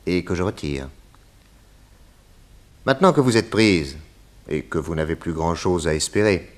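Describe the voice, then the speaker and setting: An actor playing a shrewd, courteous detective, speaking in an assured, formal and articulate way in old French radio drama style. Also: male voice, low-pitched.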